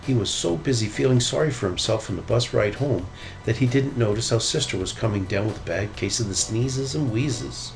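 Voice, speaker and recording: A man narrating a children's story in an expressive read-aloud style. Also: on the low side, moderate pace, gravelly, faint music behind, poor audio. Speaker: storybook reader.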